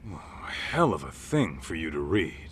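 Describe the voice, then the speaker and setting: An actor playing a gruff middle-aged ex-gangster turned detective in a video game dub, talking bluntly and wearily in informal, clipped phrases. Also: male voice, a bass voice, sing-song, measured pace, a little hiss or hum, exaggerated voice. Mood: uneasy, dry.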